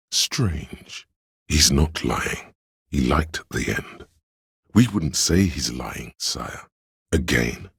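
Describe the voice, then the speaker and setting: A theatrical inner voice for a video game, a sly flatterer with courtly, antique diction. The bass is extremely low and thick with gravel. He takes his time in a pompous, deliberate way, and the sound is close and dry. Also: dry recording, exaggerated voice. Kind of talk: theatrical game dialogue and narration.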